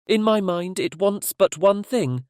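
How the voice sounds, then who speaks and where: A man playing a cunning magician disguised as a holy woman in a fairy tale audiobook, measured and insinuating. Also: sits high, animated, clear, resonant tone, dry recording, clean studio sound. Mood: sly flattery.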